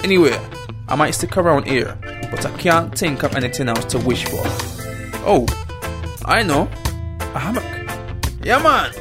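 A man's voice: fairly high pitch, very animated, normal speed, pauses often, over music. A man playing a laid-back, easily impressed starship crewman in a comedy radio play, casual and easygoing. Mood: content. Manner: casual, easygoing, wide-eyed.

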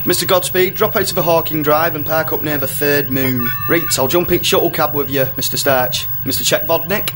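A man's voice: a little high, steady tone, brisk, hardly any pauses, natural voice. A man playing a cocky, crude, impulsive starship captain with a swaggering, blustering manner in a comedy radio play. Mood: bossy, flippant. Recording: music behind the voice.

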